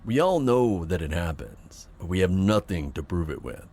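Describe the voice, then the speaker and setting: A man playing an authoritative police chief in an audio roleplay, speaking in a measured, formal, matter-of-fact briefing style. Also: deep voice, very animated, darkish tone. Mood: businesslike, firm.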